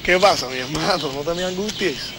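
An actor playing an easygoing young peacemaker with a Cuban accent, slangy and coaxing, in film dialogue. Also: male voice, a little high, a little fast, close to an everyday voice. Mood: dismissive. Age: young adult. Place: outdoors in a wooded park. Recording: old film, noisy outdoor birdsong ambience.

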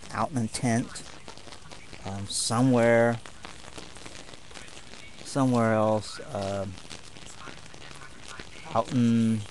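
A young man chatting casually to camera from a tent while camping, halting often with ums and pauses. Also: lowish voice, a little mellow, slight background noise, rough recording. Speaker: martial arts vlogger. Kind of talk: rambling casual vlog.

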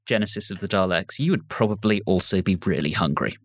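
A man's voice: low-pitched, fast talker, dry recording. A man hosting a podcast, discussing an audio drama. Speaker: podcast host.

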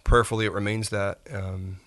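A man in his forties with a lowish voice speaks gently and haltingly. He pauses often with "um" and "uh" as he tells his story. This is a calm, reflective interview conversation. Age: 40s. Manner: soft-spoken, halting with frequent fillers. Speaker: pastor and podcast guest.

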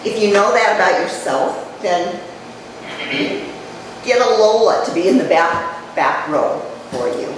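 An older woman giving a chatty public talk to a live audience, her voice dropping low as she speaks. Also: lively intonation, unhurried, pauses often. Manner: conversational, chatty, jokes with the audience. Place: community event room. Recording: echoey hall, mic far off, noisy.

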